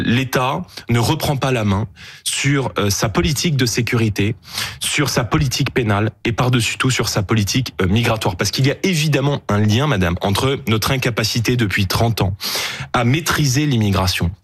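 A man's voice: mid-range voice, breathy edge, no room sound, studio quality. A man making a political argument on TV, emphatic with frequent hesitant fillers. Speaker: political guest.

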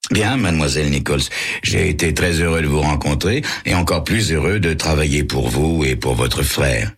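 A man playing a composed private detective who speaks in a measured, courteous way. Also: very deep voice, husky, heavily acted. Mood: calm. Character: composed male private detective, calm and polite.

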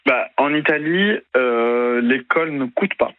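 Young man, halting, many fillers, tinny phone-like sound, dry. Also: medium pitch, animated, dry recording. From Italy. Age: young adult. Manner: hesitant, many fillers and restarts. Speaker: interview guest. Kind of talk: radio interview.

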